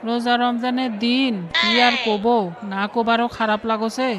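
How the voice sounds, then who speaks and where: A woman voicing an older male village watermelon seller, who hawks his goods loudly and haggles curtly. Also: on the high side, flat delivery, moderate pace, a crowd in the background, close to an everyday voice. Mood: haggling. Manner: hawking loudly, curt haggling. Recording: noticeable background noise.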